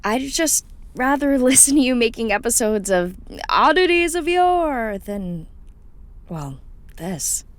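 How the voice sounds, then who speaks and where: An actress playing a worried, gentle woman in an audio drama, speaking hesitantly and with concern. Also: on the high side, big pitch swings, slow pace, dry recording. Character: worried, gentle woman pleading with loved investigator.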